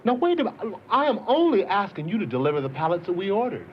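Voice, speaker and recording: An exasperated customer presses his complaint with a lively, rising voice. Muffled old film track with light hiss. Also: sits high, fast talker. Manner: impatient, insistent. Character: exasperated customer chasing a wrong order.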